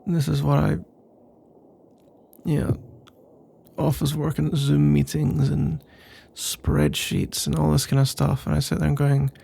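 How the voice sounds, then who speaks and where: Husky, creaky-voiced, hesitant young roommate played by a man. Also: a little high, mellow, heavily acted. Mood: pensive, weary. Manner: hesitant, halting, rambling. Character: nervous, pensive male roommate working up to a confession. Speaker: ASMR roleplay performer. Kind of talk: ASMR roleplay, casual intimate monologue.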